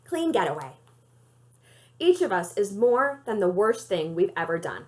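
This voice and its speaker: A woman reading a children's novel aloud in an expressive storytelling style. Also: medium pitch, measured pace. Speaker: library read-aloud reader.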